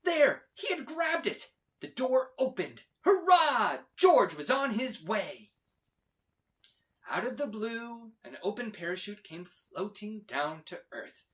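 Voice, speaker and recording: A man reading a children's storybook aloud in a dramatic, expressive way with emphatic delivery. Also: high voice, slow. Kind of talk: animated scripted storybook read-aloud.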